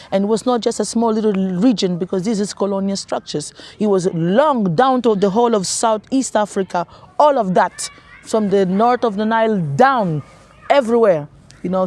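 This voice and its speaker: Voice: mid-range voice, a little mellow. A speaker in an interview, talking earnestly and emphatically, repeating words while searching for them. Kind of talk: reflective interview talk.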